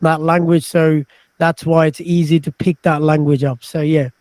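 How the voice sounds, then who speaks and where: A man's voice: fairly high pitch, flat delivery, moderate pace, darkish tone, no room sound. A man from the UK hosting a casual, conversational interview. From United Kingdom. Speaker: interviewer, podcast host.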